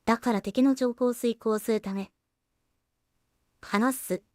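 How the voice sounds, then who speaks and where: A woman talking casually to herself and her viewers as a solo creator at work, musing in an unhurried way and letting remarks trail off. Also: a little high, fairly even intonation, darkish tone, dry recording, studio quality. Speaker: solo animation creator and streamer. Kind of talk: casual work-along commentary, thinking aloud.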